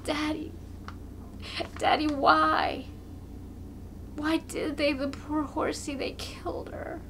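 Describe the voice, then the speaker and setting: A woman voicing a heartbroken little boy in a story reading, tearful, with broken, breathy words. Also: sits high, flat delivery, slow pace, whispered, pitched above the performer's own voice. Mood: anguished grief.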